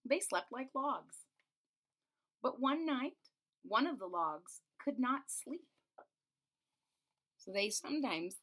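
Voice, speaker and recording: Woman reading a children's storybook aloud. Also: mid-range voice. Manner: expressive, explains the pictures in simple words. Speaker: teacher reading a picture book aloud.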